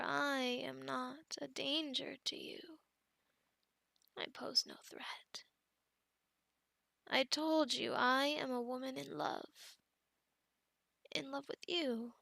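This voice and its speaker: A woman playing a possessive, lovestruck female werewolf in a whispered ASMR roleplay, slow and coaxing, soothing yet menacing. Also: high-pitched, sharp tone, dry recording, exaggerated voice. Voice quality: breathy whisper with creaky edges.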